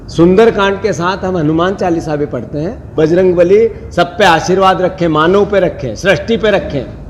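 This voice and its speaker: A man speaking as a politician, giving a statement to the press. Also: sits high. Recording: long reverberant hall sound.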